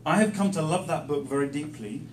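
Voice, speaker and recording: A man preaching in short, emphatic, plain-spoken phrases, pausing for an interpreter. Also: a little high, darkish tone. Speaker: guest preacher teaching the Bible. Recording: echoey room, distant mic, faint noise.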